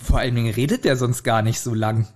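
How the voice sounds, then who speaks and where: A man's voice: medium pitch, steady tone, fast talker, heavy background noise. A man co-hosting a podcast, recapping an episode in casual chat. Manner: laughs often. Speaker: podcast co-host.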